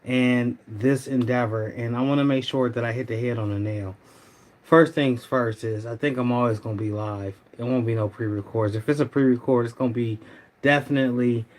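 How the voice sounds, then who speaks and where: A middle-aged man, hosting a casual livestream in a rambling, laid-back way, his voice a little hoarse. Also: medium pitch. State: slightly hoarse. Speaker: livestream host.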